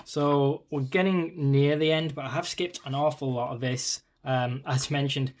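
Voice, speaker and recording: A man's voice: medium pitch, fairly even intonation, a little slow. Man with a slightly worn-out voice. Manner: chatty, rambling, many ums and asides. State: voice slightly strained, losing it. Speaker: art book reviewer, channel host. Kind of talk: casual flick-through commentary.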